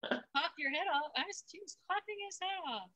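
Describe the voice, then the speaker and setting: High, bright, very animated woman. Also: moderate pace, highs cut off. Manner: animated, exclaiming reactions. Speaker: story-time co-host reacting to the reading. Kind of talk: casual exclamations and comments for children.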